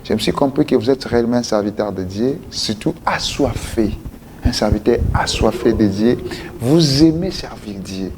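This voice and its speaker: A man from DR Congo hosting a live call-in interview, talking with animated swings and slipping between French and Lingala. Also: medium pitch, moderate pace, noisy background. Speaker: host.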